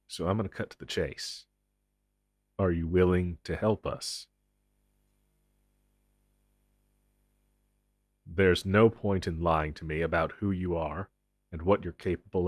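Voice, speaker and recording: Male actor as stern, distrustful agent for superhero audio drama: very low voice, slow and deliberate, cold sarcasm, close dry sound. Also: no room sound. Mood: cold, businesslike. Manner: deliberate, cold, sardonic, with sudden emphatic swells. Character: menacing, suspicious male government agent interrogating a recruit. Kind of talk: dramatic audio roleplay monologue.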